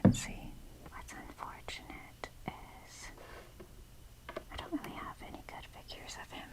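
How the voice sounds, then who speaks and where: A woman whispering in a breathy voice as she rambles casually and wryly in an informal, fannish ASMR style. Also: unhurried. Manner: informal, fannish, wry, mildly sweary. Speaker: ASMR video creator showing a toy collection.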